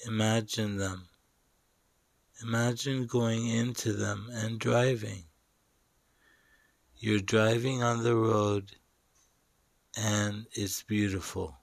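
Man, meditation guide, extremely slow pace, lowish pitch, deliberate short phrases, long pauses. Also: steady tone, vocal fry, dry recording.

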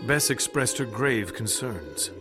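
A man narrating an eerie adventure tale as a radio drama storyteller, in measured, literary delivery. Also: medium pitch, animated. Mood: measured, ominous. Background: prominent music.

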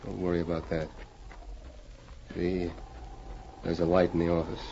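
An actor playing a scheming, cocky frontier outlaw with rough folksy talk in a radio western. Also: male voice, low-pitched, monotone, moderate pace, warm tone. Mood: conspiratorial. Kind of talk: 1940s radio western acting. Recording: muffled, noisy old radio recording.

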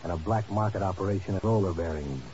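An actor playing a cool, tough, commanding secret agent who speaks tersely and dryly in a 1950s radio drama. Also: male voice, low voice, quick pace, warm tone, natural voice. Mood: cool, pressing. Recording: muffled, hissy old radio recording.

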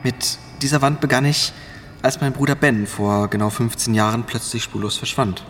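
An actor in a German audio drama playing a grave, guilt-ridden middle-aged man who tells his story in halting, ominous phrases. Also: mid-range voice, a bit bright, a little hiss or hum, natural voice. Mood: grave, earnest.

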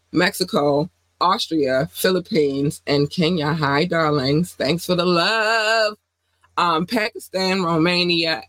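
A woman co-hosting a casual podcast, talking in an animated, playful way, with slang and phrases she repeats for effect. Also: sits low, no echo. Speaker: podcast co-host.

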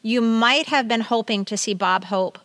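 A woman's voice: a little high, moderate pace, a bit bright, dry recording. A woman co-hosting a podcast in casual, playful chat with an enthusiastic manner. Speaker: podcast co-host.

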